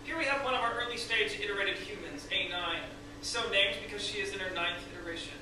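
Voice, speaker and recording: A man's voice: sits high, fast talker, close to an everyday voice. A man playing a proud, smug Russian scientist who lectures in a formal, condescending way in a staged script reading. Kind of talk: staged script read-through. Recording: echoey room, distant mic, noisy.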